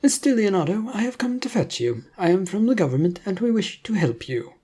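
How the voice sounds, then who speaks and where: A thin little government man , acted by a story narrator, pressing his case with formal urgency. The voice sits well above the narrator's usual pitch, with a soft, husky edge. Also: sing-song, fairly quick. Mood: urgent, insistent. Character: thin, tiny, urgent government agent in slick suit.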